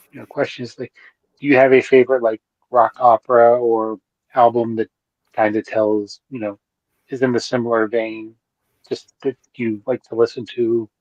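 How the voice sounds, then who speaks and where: A man's voice: medium pitch, darkish tone, no echo. A male host speaks in a dry, slightly muffled lo-fi recording. He asks casual interview questions tentatively, in short fragments.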